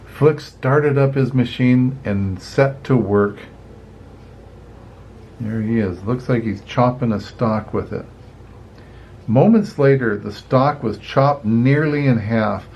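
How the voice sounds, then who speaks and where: An older man reading a children's storybook aloud in an expressive, unhurried way, adding chatty little asides. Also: mid-range voice, muffled recording, a little hiss or hum. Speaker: grandparent reading picture books aloud.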